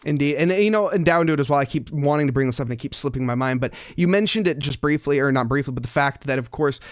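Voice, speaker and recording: A man's voice: on the high side, fast, no room sound. A man who works as a sim racing commentator, talking as an upbeat guest in a casual podcast interview.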